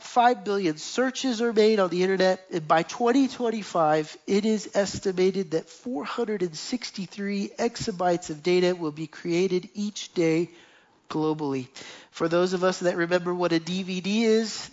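A man's voice: sits high, a little mellow. An earnest man preaching to a church gathering, stacking up lists and repeating phrases as he drives each point home. The sound is a little dull and lo-fi, as from a live stream. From United States. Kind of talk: sermon.

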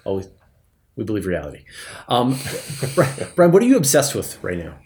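A man's voice: lowish voice, wildly swooping pitch, moderate pace, a little mellow, slight background noise. Thoughtful man hosting a podcast discussion. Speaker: podcast host interviewing guests.

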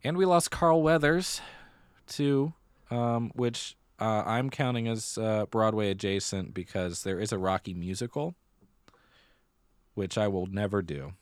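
A man's voice: fairly low pitch, measured pace, clear, resonant tone, no room sound. A man co-hosting a podcast, chatting casually and conversationally, often repeating a phrase for emphasis. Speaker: podcast co-host. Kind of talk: casual chat about musical theater and pop culture.

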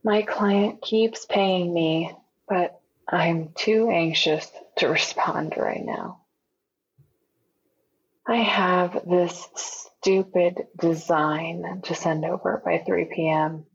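A woman's voice: lowish voice, steady tone, drawn-out words, creaky, highs cut off, slight background noise, full character voice. An actress playing an anxious, haunted young woman keeping an audio journal in a found-footage drama, introspective and uneasy. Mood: weary, anxious.

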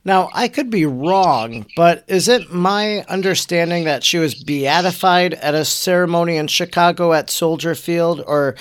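High-pitched male interview host. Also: measured pace, few pauses, smooth. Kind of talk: conversational interviewing for listeners.

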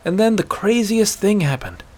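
A man's voice: sits high, lively intonation, moderate pace, a little mellow, pitched above the performer's own voice. A man voicing a stubborn, sarcastic young hunter in a dramatic fantasy story, blunt and incredulous in his challenges. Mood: breathless, excited. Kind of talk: dramatic fantasy audiobook character voice.

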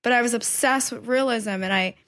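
A woman in her thirties, born in China and raised in New York, chatting as a painter guest on a podcast, animated, laughing often and restarting her sentences. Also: a little high, fairly quick. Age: 30s. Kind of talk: casual interview chat. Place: painting studio indoors.

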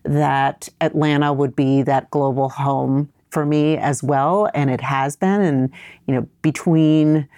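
Deep, gravelly-voiced woman guest. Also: fairly even intonation, warm tone, no room sound, studio quality. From from Vietnam, raised in New York. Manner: thoughtful, measured, with fillers. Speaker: guest entrepreneur. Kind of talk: podcast interview.

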